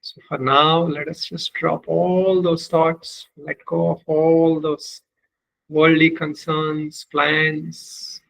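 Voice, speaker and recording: Guy teaching meditation, slightly high voice, really flat intonation, short careful phrases w long gaps. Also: gravelly, a little hiss or hum, low-quality recording. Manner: measured, deliberate phrases with long pauses. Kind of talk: guided meditation instruction.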